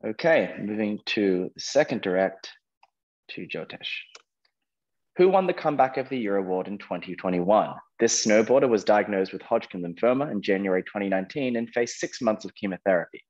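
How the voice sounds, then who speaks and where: A man with a low, slightly husky voice hosts a quiz over a dull, lo-fi connection. He reads questions out to contestants and is encouraging as he moves between players. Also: lively intonation, brisk, a little mellow. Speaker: quiz host. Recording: dull lo-fi online call audio.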